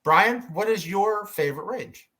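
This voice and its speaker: A man hosting a fan panel in casual conversation, with a hesitant, halting delivery. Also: high-pitched, expressive, a bit bright.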